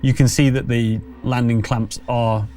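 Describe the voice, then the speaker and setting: A man's voice: mid-range voice, flat delivery, a little slow, warm tone, slight background noise. A man running a tabletop roleplaying game, narrating and explaining in a conversational, slightly hesitant way. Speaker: game master.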